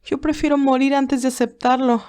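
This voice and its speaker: A woman's voice: high voice, fairly even intonation, normal speed, a little mellow, voice pushed up, natural voice. A woman voicing a passionate, wounded young woman who pleads and protests defiantly in an audiobook story. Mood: indignant. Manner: pleading, emphatic questions.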